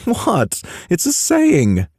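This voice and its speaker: A man's voice: high voice, wildly swooping pitch, drawn-out words, darkish tone, breathy edge, no room sound, studio quality, pitched above the performer's own voice, full character voice. A man voicing a playful, teasing young British man with a British accent.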